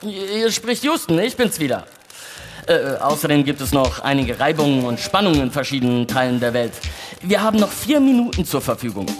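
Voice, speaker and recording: A man's voice: fairly high pitch, sing-song, fairly quick, soft background music. An actor in a staged radio play reading, playing a chatty male mission radio operator with a newsreader's delivery that can turn breezy. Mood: breezy, teasing.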